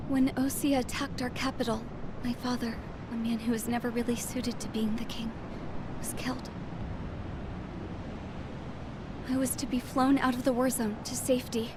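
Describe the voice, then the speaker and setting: An actress playing a grieving young princess, narrating a game cutscene in a solemn, formal, sorrowful way. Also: female voice, on the high side, background noise, natural voice. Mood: solemn grief. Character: grieving, idealistic young princess, numb with loss. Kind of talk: scripted first-person game cutscene narration. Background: loud music.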